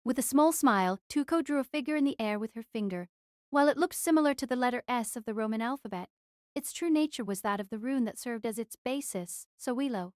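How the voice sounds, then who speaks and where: A woman reading a novel as audiobook narrator, in a measured, literary storytelling manner. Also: on the high side, dry recording, studio quality.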